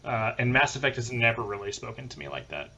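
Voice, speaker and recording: A man's voice: mid-range voice, sing-song, fast, gravelly, bright, forceful tone. A man hosting a podcast in casual conversation, on a slightly muffled recording. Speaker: podcast host. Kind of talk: casual chat.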